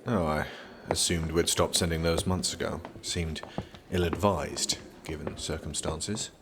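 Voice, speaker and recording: An actor playing an aloof, sardonic young prince and magician in an audio drama, speaking formally, dryly and guardedly. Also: male voice, a bass voice, fairly even intonation, a little slow, background noise. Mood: stiff, polite. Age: young adult. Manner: formal, dry, guarded speech.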